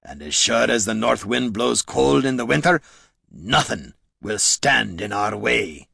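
A man voicing a tough, shrewd male dwarf in an audiobook, folksy and rough-spoken. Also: mid-range voice, sing-song, brisk, a bit bright, no echo, clean studio sound, pitched above the performer's own voice, full character voice. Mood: menacing. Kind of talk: audiobook character dialogue.

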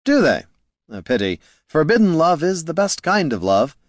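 Man putting on a lofty, high-pitched romantic bard. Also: big pitch swings, moderate pace, dry recording, studio quality. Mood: teasing. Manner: theatrical, sighing, lingering on words. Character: whimsical romantic male bard, infuriatingly smug.

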